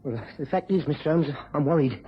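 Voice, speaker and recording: An actor playing an anxious, earnest young English police constable in a vintage radio drama, hesitant and deferential. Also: male voice, fairly high pitch, fairly quick, natural voice. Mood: worried. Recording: muffled, noisy old radio recording.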